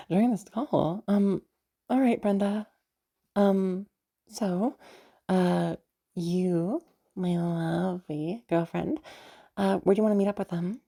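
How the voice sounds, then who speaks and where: A woman's voice: medium pitch, slow, gravelly, long pauses, dark tone. A woman voicing a sweet, bubbly, nervous young woman chatting in a roleplay audio drama, hesitant, giggly and stammering. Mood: cheerful, flustered. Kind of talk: roleplay audio drama, one side of a phone chat.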